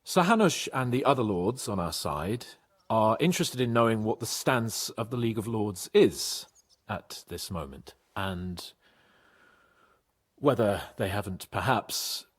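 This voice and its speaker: A man playing a bold, polite young nobleman in a video game, with a husky voice and an earnest, deferential way of talking. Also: lowish voice, big pitch swings, slow pace, heavily acted. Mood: earnest, diplomatic. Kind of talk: video game cutscene acting.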